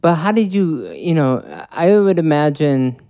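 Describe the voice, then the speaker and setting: A man's voice: fairly high pitch, lively intonation, measured pace, mellow, clean tone, dry recording, clean studio sound. A man hosting an interview, introducing his guest with enthusiasm and asking questions casually. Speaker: interview host. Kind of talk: podcast interview.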